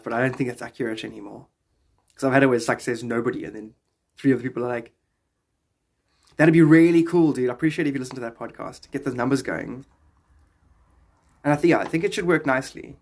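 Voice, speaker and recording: A South African man in his thirties talks to his live stream viewers in a very animated, medium-pitched voice. He is casual and slangy, with playful greetings that swoop up and down. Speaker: live streamer and podcast host. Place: small indoor room, close to the camera.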